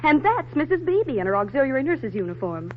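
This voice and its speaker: A woman's voice: high-pitched, very animated, a little fast. An actress playing a composed, dryly teasing young woman in a 1940s radio drama. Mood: dry, arch. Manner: dry, teasing. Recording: muffled, faintly hissy 1940s radio recording.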